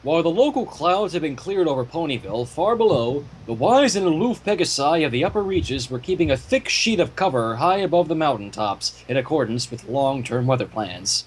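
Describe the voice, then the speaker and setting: A man's voice: fairly high pitch, very animated, brisk, hardly any pauses, slight background noise, low-quality recording. A man hosting a fan fiction reading, delivering the story with irreverent, profane asides. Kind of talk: story reading with casual commentary.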